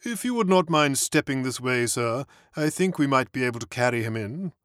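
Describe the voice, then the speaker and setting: A reader voicing an unflappable older male valet who speaks in a low, measured, deferential way. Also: lively intonation, a bit bright, no room sound, studio quality, close to an everyday voice. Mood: composed. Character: imperturbable older male valet, formal and dry.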